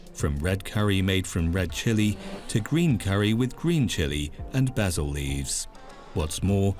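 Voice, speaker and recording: Male documentary voice-over, measured and calm, slightly low, music bed. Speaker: documentary narrator. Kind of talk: scripted narration. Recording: music underneath.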